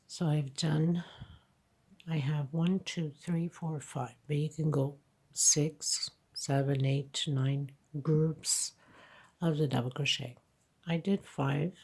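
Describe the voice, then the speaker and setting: Woman, congested, crochet tutorial, slow pace, husky voice. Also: sits low, fairly even intonation, darkish tone. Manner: slow, counting stitches aloud with frequent okays. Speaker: crochet teacher. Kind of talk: hands-on tutorial narration.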